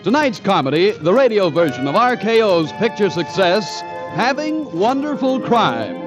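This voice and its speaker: Brief: man, formal old-time radio announcer, clear music behind him. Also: sits high, sing-song, normal speed, rough recording. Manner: formal, stately introductions. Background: orchestra music.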